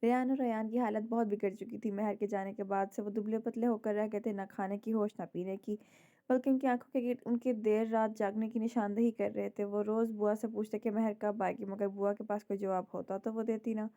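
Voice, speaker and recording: Hoarse woman reading a novel aloud. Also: mid-range voice, level tone, brisk, warm tone. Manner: dramatic storytelling. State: hoarse, sore throat. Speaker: novel narrator.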